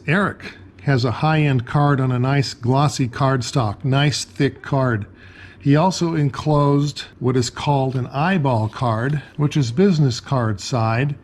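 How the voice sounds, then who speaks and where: A man's voice: medium pitch, darkish tone, slight background noise. A man narrating an explanatory show-and-tell as a ham radio hobbyist.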